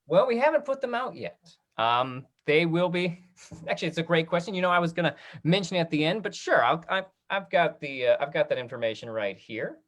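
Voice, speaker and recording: A host of a casual online talkback, speaking in a halting, conversational way like a drama teacher. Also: mid-range voice, lively intonation, fairly bright tone.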